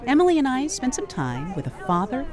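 A woman anchoring a national news program, talking in a lively, conversational way as she reports. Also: high voice, a little fast, crowd noise.

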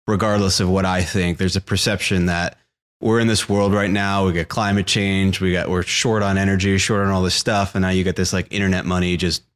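Young male podcast co-host, lowish voice, laid-back explainer tone. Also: little pitch movement, fairly quick, smooth. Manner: explanatory, frequent "like" and "right?" check-ins. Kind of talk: casual podcast chat.

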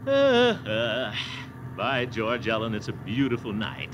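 An actor playing a pompous, blustering middle-aged bachelor uncle, chuckling and wheedling in a 1940s radio comedy. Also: male voice, medium pitch, wildly swooping pitch, slow pace, music in the background. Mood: flirty.

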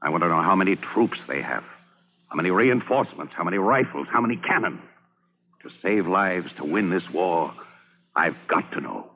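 A man's voice: low voice, expressive, normal speed, slightly rough, dull audio, exaggerated voice. A man playing a stern, commanding army general, authoritative and emphatic, in old-time radio drama style. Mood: grave, commanding. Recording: band-limited vintage radio recording.

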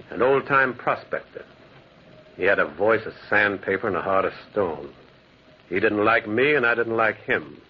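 A man's voice: on the low side, breathy edge. An actor playing a lonely man who lives alone by the railroad tracks, narrating and acting in a brooding, dramatic 1940s radio drama style. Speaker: narrator and lead character. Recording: lo-fi old radio recording with faint hiss.

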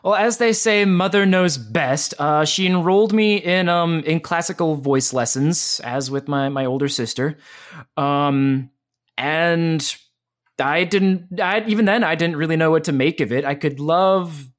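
Animated, excitable male voice actor. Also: fairly high pitch, unhurried. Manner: energetic and animated, with frequent restarts. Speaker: voice actor and voice teacher. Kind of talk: casual interview chat.

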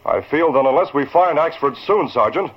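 Decisive vintage-radio boss: husky, expressive intonation, businesslike, slightly muffled old recording. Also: male voice, fairly high pitch, moderate pace. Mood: serious. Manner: businesslike, grave. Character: serious, decisive male newspaper boss. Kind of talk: 1940s radio acting.

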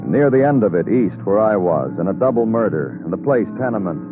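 An actor playing a weary, brooding city detective, narrating in moody, deliberate 1950s radio noir style. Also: male voice, lowish voice, sing-song. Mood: brooding, poetic. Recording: tinny old radio recording, music behind.

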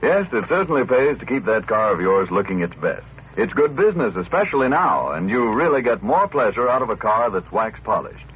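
A man's voice: mid-range voice, big pitch swings, fairly quick, hardly any pauses. A man reading a commercial as a 1940s radio announcer. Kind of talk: 1940s radio advertising read. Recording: tinny, noisy old radio recording.

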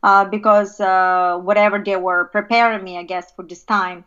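A woman from Bulgaria, a podcast guest telling her life story in a halting way, full of "uh" pauses. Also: medium pitch, little pitch movement, a bit bright, smooth, lo-fi sound.

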